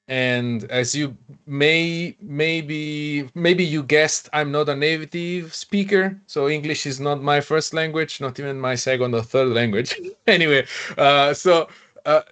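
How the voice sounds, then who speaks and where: A man, a podcast host, talking casually in a halting way with many restarts and fillers. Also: mid-range voice, a bit bright, smooth. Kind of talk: casual panel chat.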